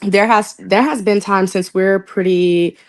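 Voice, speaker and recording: A woman's voice: mid-range voice, steady tone, measured pace. A young woman from southern Oklahoma with a Texas-leaning Southern accent, talking casually and laughing easily in an interview. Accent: Texoma Southern US. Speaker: political candidate interviewed.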